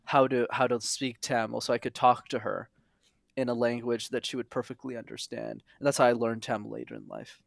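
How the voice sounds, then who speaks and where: A young man from Montreal, a podcast guest, telling his story in an earnest, animated way with quick asides. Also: medium pitch, creaky, no echo, studio quality. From Montreal, Canada. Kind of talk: casual interview.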